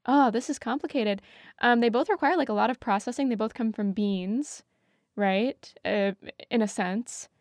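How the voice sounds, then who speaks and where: A woman's voice: fairly high pitch, moderate pace, a little mellow, dry recording, clean studio sound. A woman on a podcast panel, chatting casually and thinking aloud.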